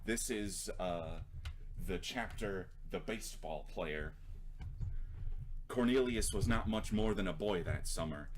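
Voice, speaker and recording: A man's voice: sits low, slight background noise. A man in his twenties, an author speaking casually and reading his own writing aloud. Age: 20s. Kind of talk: casual rambling talk, then reading own prose aloud.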